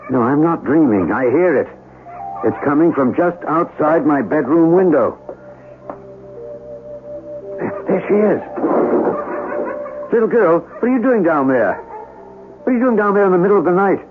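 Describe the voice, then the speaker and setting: A muffled vintage radio drama recording with music. an earnest, agitated scientist who fears for his sanity. His formal, halting speech is animated, swinging widely in pitch as he insists on his point. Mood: excited, urgent. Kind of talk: 1970s radio drama acting.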